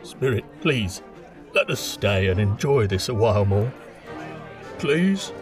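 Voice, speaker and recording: A man acts out an old man softened into childlike eagerness, pleading in a lively, swooping voice. It is audio drama acting, heard a little way from the mic with music playing strongly behind. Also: fairly low pitch, slow pace. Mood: eager pleading. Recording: distant voice under prominent music.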